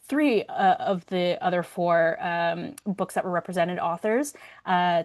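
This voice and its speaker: A woman hosting a webinar, introducing things informally and enthusiastically, with somewhat hesitant phrasing. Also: on the low side. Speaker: webinar host introducing a speaker. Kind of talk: informal event introduction with read-out biography.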